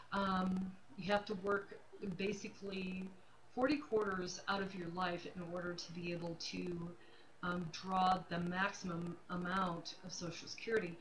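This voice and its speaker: A woman's voice: mid-range voice, slight background noise, low-quality recording. A woman of fifty-nine talking casually and candidly to her livestream audience, repeating phrases for emphasis. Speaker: livestream host, self-employed realtor. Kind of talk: casual personal talk to camera.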